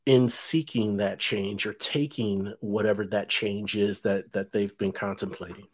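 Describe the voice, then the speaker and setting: A man's voice: fairly low pitch, steady tone, measured pace. A man in his fifties hosting an interview podcast, talking in a rambling way with many fillers and restarts. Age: 50s. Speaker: podcast host. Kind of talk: casual interview chat.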